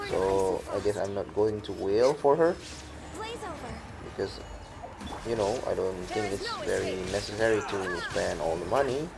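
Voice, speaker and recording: Low, slow-talking male gamer. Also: gravelly. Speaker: game streamer. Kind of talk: casual gameplay commentary.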